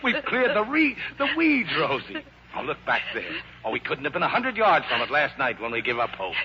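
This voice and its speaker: An actor playing a rough-hewn but tender, uneducated riverboat skipper in a vintage radio drama, talking in plain, folksy, ungrammatical speech. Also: male voice, a little high, huge pitch swings, fairly quick, a crowd in the background. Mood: elated. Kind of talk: 1950s American radio theater acting. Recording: muffled, noisy old radio broadcast recording.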